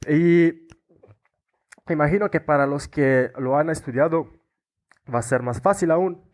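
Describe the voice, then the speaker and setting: A young Italian man with an Italian accent, a podcast guest chatting casually and often pausing, restarting and searching for words. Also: on the high side. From Italy. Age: young adult. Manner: hesitant, many restarts and fillers, searching for words. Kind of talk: casual interview chat.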